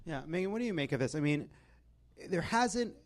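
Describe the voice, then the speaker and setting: A man's voice: a little high, animated, a little fast. An American man hosting a comedy politics panel with wry, exasperated banter and casual swearing. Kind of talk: comic banter and topical commentary.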